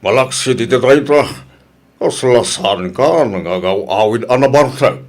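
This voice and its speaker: An actor playing a concerned, affectionate middle-aged father in a radio drama, gravelly and expressive, with a Filipino accent. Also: mid-range voice, a little slow, natural voice. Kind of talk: Ilocano radio drama acting.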